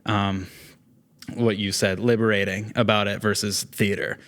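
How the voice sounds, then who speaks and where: A man's voice: fairly low pitch, little pitch movement, unhurried, fairly bright tone. A man who is a theatre actor, talking casually as an interview guest in a rambling way, often acting out bits of imagined dialogue.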